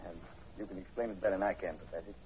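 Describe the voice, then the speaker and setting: An actor playing a plain-spoken state police sergeant, halting and matter-of-fact, in 1940s radio horror style. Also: male voice, sits low, steady tone, a little mellow, lightly acted. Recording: dull, noisy old radio broadcast recording.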